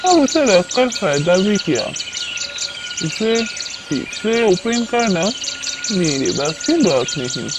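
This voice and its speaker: Woman voicing a slow-spoken, worried circus man. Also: lowish voice, sing-song, music in the background, pitched below the performer's own voice, exaggerated voice. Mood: despondent. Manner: slow, brooding, plaintive. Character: despondent male circus owner, gentle and worried. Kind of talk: children's moral cartoon dialogue. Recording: loud birdsong and ambience behind.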